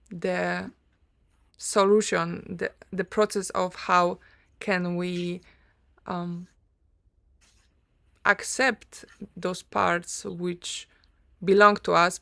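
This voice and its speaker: A woman with a Polish accent, a native Polish speaker, hosting an online interview in a soft-spoken, hesitant way with many ums. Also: lowish voice, gravelly, no echo, studio quality. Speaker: host.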